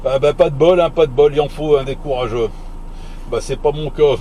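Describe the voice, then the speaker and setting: A man with a fairly high voice, chatting as a CB radio operator. He rambles in colloquial phrases and repeats himself. Clear vehicle noise surrounds him. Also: brisk, dark tone. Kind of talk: casual CB radio chat.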